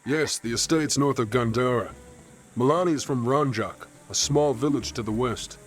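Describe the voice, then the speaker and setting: A man's voice: medium pitch, normal speed, faint music behind, close to an everyday voice. An actor playing a brash, loyal young male warrior in a fantasy game, with a cocky, informal, bantering manner. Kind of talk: scripted fantasy game acting.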